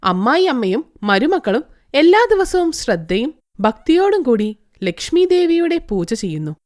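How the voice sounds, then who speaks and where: Woman, high voice, animated melody, scripted storytelling, moral tale narration. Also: a little slow.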